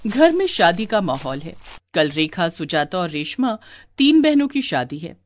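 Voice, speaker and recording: A woman narrating a scripted story in an expressive style. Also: on the low side, unhurried.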